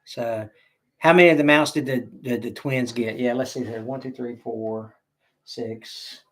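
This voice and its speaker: A man's voice: medium pitch, fairly even intonation, measured pace, fairly bright tone. A middle-aged man with a Southern American accent hosting a casual livestream, rambling, stop-start and joking as he reads viewer comments. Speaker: livestream host.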